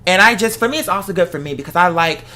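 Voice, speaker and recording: A casual talk host with a bright, forceful voice at a middling pitch. The delivery is conversational and animated, working through personal stories with examples and quoted asides. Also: rapid-fire. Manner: animated storytelling, explains with examples and acted-out quotes.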